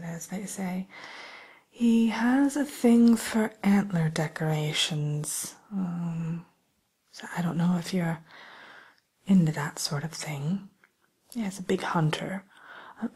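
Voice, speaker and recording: A woman softly whispering an ASMR roleplay as a polite, hesitant matchmaker who soothes and defers to her client. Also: on the low side, full character voice. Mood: hushed, attentive. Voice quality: breathy, mostly whispered. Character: polite, accommodating female matchmaker at a dating service for villains.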